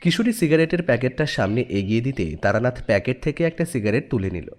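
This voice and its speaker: A man narrates an audio story in a medium-pitched voice. His intonation moves widely and expressively. The delivery is scripted storytelling with acted dialogue. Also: sing-song, fast talker, a little mellow.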